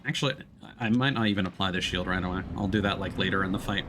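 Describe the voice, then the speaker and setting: A man giving casual live commentary as he plays a game, thinking aloud in a self-critical way. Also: very fast. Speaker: streamer. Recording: loud rumbling game noise behind. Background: video game sound effects.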